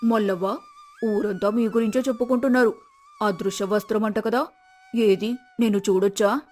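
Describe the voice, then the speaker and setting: A woman's voice: medium pitch, fairly even intonation, moderate pace, a little mellow, faint music behind, lightly acted. Woman voicing a gullible, gushing village neighbour woman. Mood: curious.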